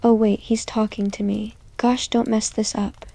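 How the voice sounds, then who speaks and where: A woman's voice: fairly high pitch, flat delivery, a little slow, creaky, mellow, no echo. A woman playing a smitten, self-conscious teenage girl voicing her private thoughts in an ASMR roleplay. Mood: flustered, infatuated. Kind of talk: ASMR roleplay inner monologue.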